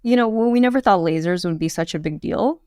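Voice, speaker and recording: A woman's voice: fairly low pitch, fast talker, warm tone, clean tone, no echo, studio quality. A woman in her thirties, a podcast guest, talking candidly and chattily with many fillers. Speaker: podcast guest, quantum computing founder. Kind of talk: casual interview chat.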